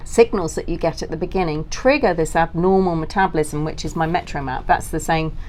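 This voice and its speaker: A woman's voice: low voice, fairly quick, a little mellow, slight background noise. A woman giving detailed, technical explanations as the guest in an interview.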